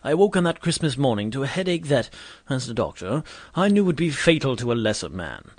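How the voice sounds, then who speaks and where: Guy acting stuffy victorian doctor telling his story, pompous and grumpy, middling pitch, dry close sound. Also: sing-song, a little fast, dry recording. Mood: wry, self-important. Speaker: narrator and lead character. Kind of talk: scripted first-person audio drama narration and dialogue.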